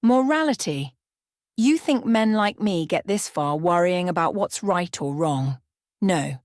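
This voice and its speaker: A cold, arrogant crime boss barks and scoffs from a storybook, as a woman reader puts him on. The voice sits low and sounds close and dry. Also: lively intonation, a little slow, darkish tone, no room sound. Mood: scornful. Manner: scoffing, barking, irritated. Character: arrogant middle-aged male crime boss.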